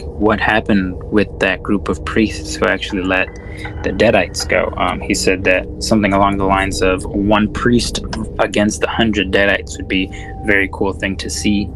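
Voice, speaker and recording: Male podcast co-host, lower voice, loose sweary chat over prominent music. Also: rough recording. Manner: laid-back, slangy, profane. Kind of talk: casual film discussion chat.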